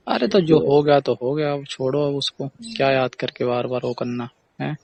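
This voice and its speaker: A man's voice: mid-range voice, normal speed, darkish tone, close to an everyday voice. A man playing a reassuring, familiar friend in a casual phone-call drama, talking colloquially with frequent questioning tags. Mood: friendly, reassuring. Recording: clear background noise on recording.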